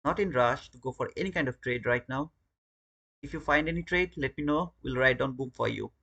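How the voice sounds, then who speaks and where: A man's voice: medium pitch, brisk, gravelly, bright, forceful tone, highs cut off. A man from Bangladesh with a Bangladeshi English accent, hosting a live trading stream and talking casually to his viewers, often repeating words. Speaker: trading livestream host. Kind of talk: live trading commentary and chat.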